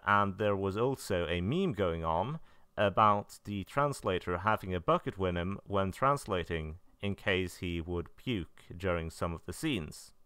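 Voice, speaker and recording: Low, smooth man with lively intonation. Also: no echo, studio quality. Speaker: video narrator reviewing visual novel releases. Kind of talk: semi-scripted commentary.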